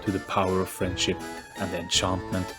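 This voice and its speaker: A man's voice: low-pitched, completely flat delivery, a little fast. A man narrating a story as an audio play in a dramatic, scripted storybook style. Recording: faint music behind narration. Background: soft background music.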